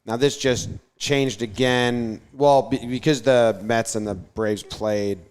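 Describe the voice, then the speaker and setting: Teasing man thinking out loud. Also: mid-range voice, steady tone, slow pace, clean tone. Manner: teasing, thinks aloud with restarts. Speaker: podcast co-host. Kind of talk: casual sports chat.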